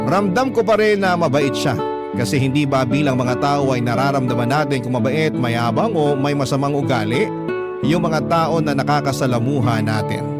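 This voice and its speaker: A man narrating a scripted, sentimental story in the style of a radio drama letter reading. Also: mid-range voice. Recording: loud guitar music under the voice. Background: prominent plucked guitar music.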